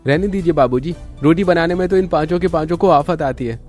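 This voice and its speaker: A man acts a poor village labourer in a family cartoon. His voice is notably high for a man, irritable and complaining, with faint music behind it. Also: brisk, a little mellow, close to an everyday voice. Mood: irritated. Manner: complaining, taunting. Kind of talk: Hindi family cartoon voice acting.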